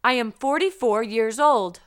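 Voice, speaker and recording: Woman teacher reading learner drills, high and very clear, unhurried. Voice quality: careful, clearly enunciated. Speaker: language teacher. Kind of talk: slow drill sentences for learners.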